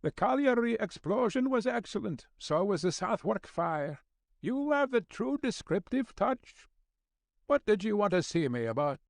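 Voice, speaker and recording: Man playing elderly Scottish news editor, raised pitch, avuncular, fussy, crabbed yet kind, storybook dialogue, dry close sound. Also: big pitch swings, a little slow, creaky, no room sound, full character voice. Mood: kindly. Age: older. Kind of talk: audiobook character dialogue.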